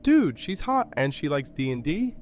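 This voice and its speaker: A man's voice: fairly high pitch, sing-song, moderate pace, a little hiss or hum. A man playing a nosy, easily impressed slacker with casual slangy speech in an audio drama. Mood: sympathetic, amazed.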